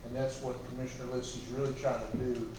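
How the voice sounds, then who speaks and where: A man's voice: mid-range voice, fast. A man, a county official, answering a resident at a public meeting with reassuring, agreeable replies. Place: county courtroom. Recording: very echoey room, distant mic, steady noise.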